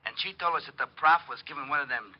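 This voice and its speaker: Gruff slangy radio cop played by an actor. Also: male voice, on the high side, fast, natural voice. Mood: grumbling. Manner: uneducated, slangy. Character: gruff, grumbling male police sergeant on the job. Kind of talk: 1940s radio drama acting. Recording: muffled old radio recording.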